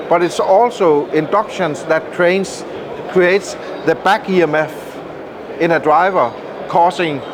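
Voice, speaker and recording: Male product maker, somewhat high pitch, emphatic technical explainer, loud show-floor noise behind. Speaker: loudspeaker maker, interview guest. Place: indoor audio trade show room.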